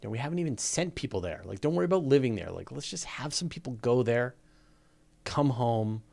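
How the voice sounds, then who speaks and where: A man's voice: medium pitch, lively intonation, fairly quick, creaky, darkish tone. A man hosting a live question and answer show, talking off the cuff in a casual, emphatic, opinionated way and repeating phrases for stress. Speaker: host of a live space and astronomy Q&A. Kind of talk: casual off-the-cuff explanatory talk.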